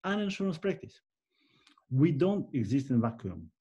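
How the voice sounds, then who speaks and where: An older man with a Russian accent talks to his webcam from a room at home. His voice is dark and mellow, but he swings it high and low with sudden bursts of energy as he lectures, gesturing as he goes. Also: normal speed, slightly rough, dull audio. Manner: animated, gesturing, lively swings in pitch. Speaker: insurance specialist, channel host. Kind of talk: unscripted talk to camera. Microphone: webcam at desk.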